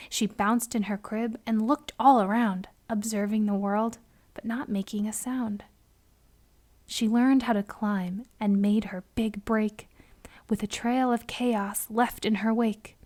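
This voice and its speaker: A woman reading a children's story aloud expressively, with deliberate emphasis on key words. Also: fairly high pitch, darkish tone. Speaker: storybook reader.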